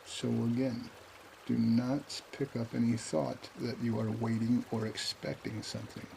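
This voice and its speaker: Man, meditation guide, calm, slow and drawn-out delivery, fry-laden voice, short phrases with pauses, trickling water behind. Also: medium pitch, slight background noise, rough recording. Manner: calm, unhurried, pausing between short phrases.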